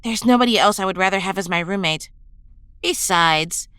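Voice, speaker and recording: A woman's voice: mid-range voice, normal speed, a bit bright, smooth, no echo, studio quality, close to an everyday voice. A woman playing a supportive, college-age superhero in an audio drama, talking casually and sincerely. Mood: reassuring.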